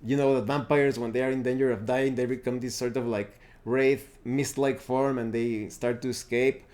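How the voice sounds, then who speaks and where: Mellow-voiced man reviewing tabletop games. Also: medium pitch, steady tone, moderate pace. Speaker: tabletop roleplaying game reviewer. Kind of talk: casual unscripted review talk to camera.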